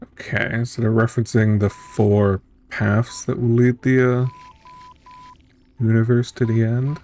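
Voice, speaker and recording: A man's voice: medium pitch, little pitch movement, unhurried, darkish tone. A man streaming a story game, reading its text aloud and adding casual, musing reactions as he thinks it through. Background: game music.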